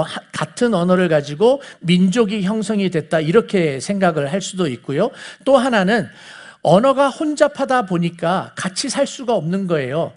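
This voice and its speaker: A man with a Seoul accent giving a conversational Bible teaching, explaining things and putting questions to his listeners. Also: high voice. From South Korea. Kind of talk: conversational sermon lecture to a congregation.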